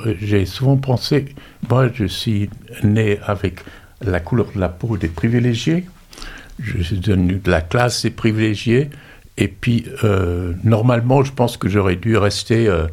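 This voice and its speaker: Older activist guest near eighty: gravelly, husky, low voice, thoughtful and self-mocking. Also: male voice, normal speed, a little mellow. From raised in the United States. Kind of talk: reflective personal storytelling.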